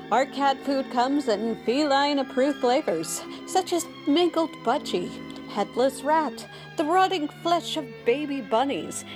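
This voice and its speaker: A spoof radio commercial as a cheerful, deadpan pitchwoman. She takes her time in a slightly high voice, with clear music behind her. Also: rough recording. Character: smooth, cheerful female pet food pitchwoman.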